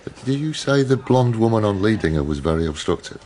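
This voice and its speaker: A man's voice: on the low side, big pitch swings, a little fast, a little mellow, a little hiss or hum. A British man playing a surly, sardonic police detective with a blunt, bullying manner in a radio drama. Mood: businesslike. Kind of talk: naturalistic radio drama acting.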